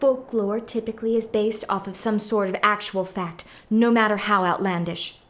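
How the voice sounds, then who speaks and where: A woman's voice: mid-range voice, fairly even intonation, moderate pace, close to an everyday voice. A woman playing an earnest, conscientious starship doctor in a comedic sci-fi radio play, precise and easily exasperated.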